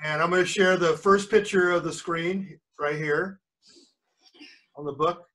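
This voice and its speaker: A man's voice: fairly high pitch, fairly even intonation, a little slow, sharp tone, low-quality recording. An older man reading a story aloud to children, stopping often to explain words and check they are following. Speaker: grandfather reading a book to children. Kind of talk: read-aloud storytelling with chatty asides to children. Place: video call with screen sharing.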